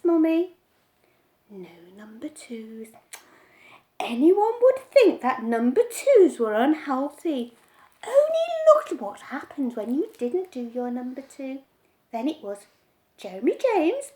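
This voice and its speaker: A woman voicing an excitable, stubborn little boy who talks eagerly and insistently in a storybook reading. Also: sits high, reverberant.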